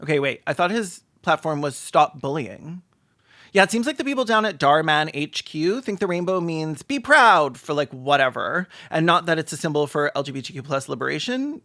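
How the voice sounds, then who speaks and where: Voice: mid-range voice, sing-song, normal speed, pushed and bright, no echo, studio quality. A video commentator giving sarcastic, exaggerated comedic reactions with casual swearing.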